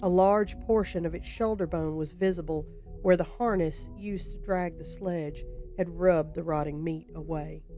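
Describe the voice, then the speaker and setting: Mellow, low-voiced woman narrating horror. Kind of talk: scripted horror story narration.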